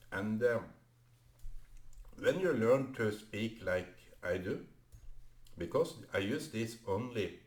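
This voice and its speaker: A man whose first language is Norwegian, talking to camera in a halting vlog monologue full of fillers and restarts. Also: medium pitch, darkish tone, reverberant. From Norway. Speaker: vlogger. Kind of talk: unscripted solo vlog monologue.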